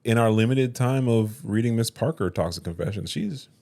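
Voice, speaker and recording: A man co-hosting a podcast in casual chat, playful, jumping up high when he jokes. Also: normal speed, vocal fry. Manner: playful, animated, swings up high when joking. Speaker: podcast co-host.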